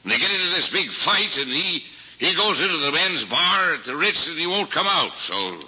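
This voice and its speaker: An actor playing a good-hearted, hapless working-class cab driver with folksy, ungrammatical talk in an old-time comedy drama. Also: male voice, on the high side, big pitch swings, moderate pace, pushed and bright, breathy edge, exaggerated voice. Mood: defensive, pleading. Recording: dull lo-fi vintage recording, faint noise.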